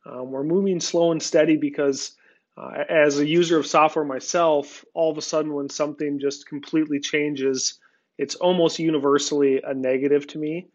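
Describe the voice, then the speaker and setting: A man giving a relaxed, conversational screen-recorded walkthrough of farm software, with frequent filler words. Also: fairly high pitch, normal speed, lo-fi sound. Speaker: software company representative. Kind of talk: screen-recorded product demo.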